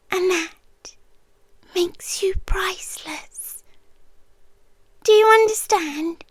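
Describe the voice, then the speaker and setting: Woman as a very high-voiced, slow British monster lover. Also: dry recording, heavily acted. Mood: adoring, intense. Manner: slow, hushed, coaxing, often drops into whispers. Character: centuries-old possessive female monster, sweetly menacing hoarding lover. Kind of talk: ASMR roleplay.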